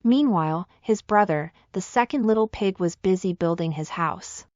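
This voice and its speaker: A woman's voice: lowish voice, moderate pace, a little mellow, no echo, muffled recording. A woman reading a scripted children's fairy tale as the storyteller.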